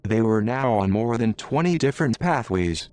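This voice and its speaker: A man narrating an adventure story aloud in an unhurried, deliberate way. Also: medium pitch, expressive, darkish tone. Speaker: author reading own story.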